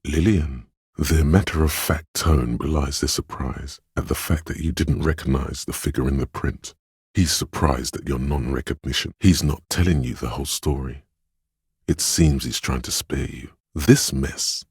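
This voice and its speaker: A man voicing a tender, perceptive inner voice of empathy in a video game, speaking in a measured, intimate, dramatic way with a husky, gravelly tone. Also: very deep voice, no echo, clean studio sound, exaggerated voice. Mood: tender, knowing. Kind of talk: scripted game narration, second-person commentary.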